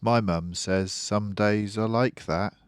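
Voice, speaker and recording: An older man reading a picture book aloud as a storyteller, expressively, with dramatic pauses and emphasis. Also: fairly low pitch, steady tone, clean tone, dry recording, studio quality.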